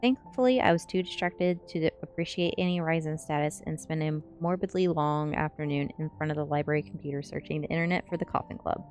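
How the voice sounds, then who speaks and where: A woman narrating a teen story aloud in an expressive audiobook style. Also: deep voice, fairly quick, hardly any pauses, faint music behind. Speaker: streamer reading a story aloud.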